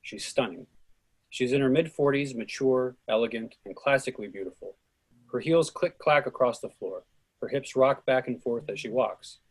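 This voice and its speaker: A man's voice: mid-range voice, normal speed, breathy edge, poor audio. A man narrating the action and stage directions at a script reading. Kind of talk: scripted narration at a readers theatre.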